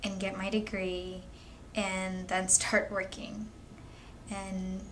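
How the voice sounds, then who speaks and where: A 22-year-old woman speaks in a measured, thoughtful manner. Her voice sits at a middle pitch. A faint background noise sits under her words. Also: little pitch movement, poor audio. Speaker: young mother telling her story. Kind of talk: candid personal testimony.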